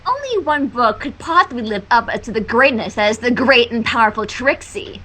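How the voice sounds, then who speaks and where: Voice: mid-range voice, expressive, normal speed, bright, forceful tone, pitched above the performer's own voice. A fan-fiction reader voicing a boastful, demanding female pony magician who talks grandly of herself in the third person. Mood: smug, bossy.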